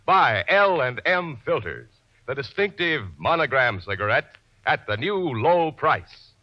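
A man's voice: mid-range voice, sing-song, measured pace. An American man reading a punchy 1950s radio advertisement as a commercial announcer. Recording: dull vintage 1950s radio recording.

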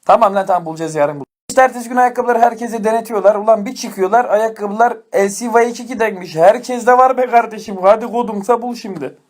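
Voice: medium pitch, fairly even intonation, fast, few pauses. A comic storyteller telling a tale in colloquial, sweary slang with mock-scolding asides.

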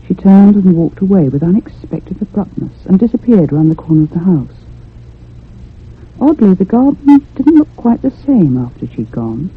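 A husky lady tells her tale in a radio drama with literary care. Her pitch moves expressively as she turns curious and insistent. The sound is narrow and phone-like, like old radio. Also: exaggerated voice. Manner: literary, measured storytelling. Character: convalescent lady narrator, curious, insistent, easily unsettled. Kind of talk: radio drama narration and dialogue.

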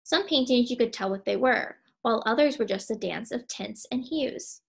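A young woman reading a children's storybook aloud in an expressive, emphatic way. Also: fairly high pitch, normal speed, gravelly, slightly rough.

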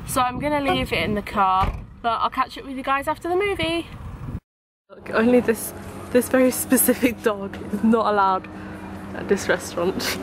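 A woman's voice: on the high side, animated, normal speed, background noise. A teenage girl chatting playfully to viewers on a holiday vlog, filmed on a handheld camera at arm's length. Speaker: vlogger. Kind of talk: casual vlog chat to camera. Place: outdoors and in a car, holiday trip.

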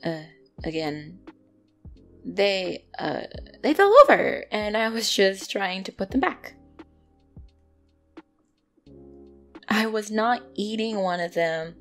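Woman acting a bratty tsundere catgirl, very expressive. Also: mid-range voice, measured pace, soft background music. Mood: defensive, flustered. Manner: indignant, defensive, stammering when flustered. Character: bratty, flustered young female tsundere catgirl. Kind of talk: ASMR roleplay acting addressed to the listener.

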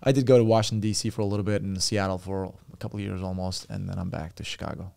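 Gravelly Turkish-accented man in his thirties. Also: sits low, very fast, dry recording. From Turkey. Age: 30s. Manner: relaxed, chatty storytelling with frequent fillers. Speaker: podcast guest. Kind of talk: casual interview chat. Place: small podcast studio. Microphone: studio boom mic with headphones.

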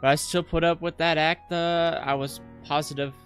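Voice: mid-range voice, flat delivery, normal speed, a bit bright. A streamer reading game text aloud with casual asides, stumbling over words now and then. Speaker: host of a visual novel playthrough. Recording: music behind the voice. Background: game music.

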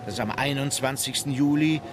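An actor playing a sober naval officer who recalls a voyage in formal, measured radio-play narration. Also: male voice, medium pitch, lightly acted. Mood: composed, factual. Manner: formal, composed. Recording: music bed under the voice.

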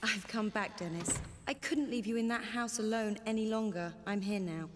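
An actress playing a tender, devoted older sister in a dramatic video game. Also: female voice, mid-range voice, normal speed, big-room sound, background noise, close to an everyday voice.

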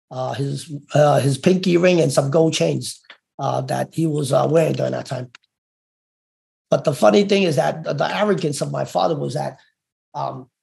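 A middle-aged man with a Hong Kong-tinged accent, telling his story haltingly with many fillers as an interview guest over a video call. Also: on the high side, gravelly, darkish tone. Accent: Hong Kong-influenced. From United States. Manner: halting, many fillers and restarts, gestures while talking. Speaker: interview guest, former gang member. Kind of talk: casual storytelling. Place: room indoors. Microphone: video call webcam.

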